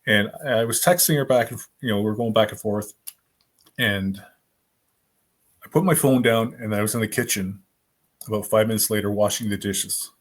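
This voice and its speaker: A man in his fifties, a guest author, telling his stories in a rambling, animated way with lots of fillers. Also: on the low side, normal speed, pauses often. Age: 50s. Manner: animated, rambling storyteller with many fillers and restarts. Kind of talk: casual storytelling in a podcast interview.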